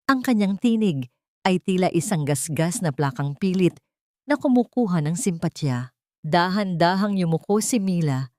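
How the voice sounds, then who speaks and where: A woman narrator reading a scripted dramatic love story in a solemn, deliberate manner. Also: lowish voice, a little mellow, no echo, studio quality.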